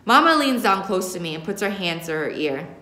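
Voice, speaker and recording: Woman in her 30s reading a story out loud, medium pitch, echoey classroom. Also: big pitch swings, brisk. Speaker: teacher reading a novel aloud. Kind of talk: expressive audiobook-style first-person narration. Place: indoor classroom.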